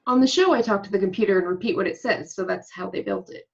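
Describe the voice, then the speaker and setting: A woman playing an excitable, candid actress aboard a starship, expressive and conversational, in an amateur table read. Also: mid-range voice, quick pace, vocal fry, natural voice. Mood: amused. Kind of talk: amateur remote table read of a sci-fi comedy. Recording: slightly dull, lo-fi home recording.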